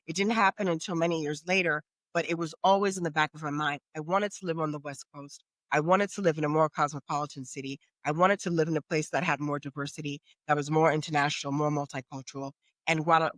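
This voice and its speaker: A woman's voice: low-pitched, steady tone, fast, a bit bright, no room sound. A middle-aged American woman answering questions as a guest in a conversational podcast interview. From United States.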